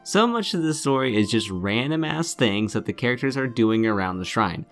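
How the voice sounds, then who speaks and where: A man's voice: medium pitch, animated, moderate pace, soft background music. A man delivering scripted game review commentary in a scornful, sardonic manner, swearing freely.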